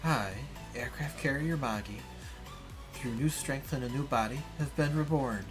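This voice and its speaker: Gentle, solemn game heroine voiced by a man, measured formal delivery, clear music bed. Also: medium pitch, close to an everyday voice, rough recording. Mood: solemn, resolved. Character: gentle, solemn reborn female warship spirit.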